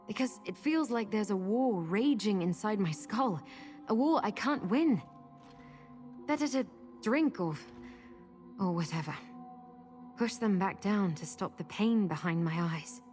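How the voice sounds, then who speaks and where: A woman's voice: mid-range voice, lively intonation, unhurried, long pauses, darkish tone, faint music behind, lightly acted. An actress playing a troubled, reclusive woman with lost memories in a fantasy video game, speaking in a guarded, weary, confiding manner. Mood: anguished. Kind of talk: video game character dialogue.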